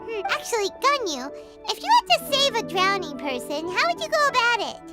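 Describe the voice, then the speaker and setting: A woman voicing a tiny, excitable, blunt childlike fairy companion in a video game, with a squeaky, bubbly voice. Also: fairly quick, over loud music. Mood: perky, curious. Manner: speaks of herself in third person, bubbly.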